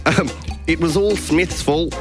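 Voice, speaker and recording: A man's voice: on the high side, a little slow, over music, natural voice. A man voicing a sassy, dramatic woman with a put-on Australian accent in a hammy amateur scene reading. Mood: indignant, dramatic.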